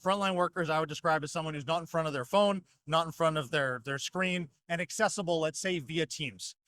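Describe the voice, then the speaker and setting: A man with a fairly high voice explains products in an articulate, orderly way. The sound is dry and close, like a company representative being interviewed. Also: a little fast, fairly bright tone, no echo. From North America. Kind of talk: fluent product explanation at a trade show. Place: trade show exhibition hall.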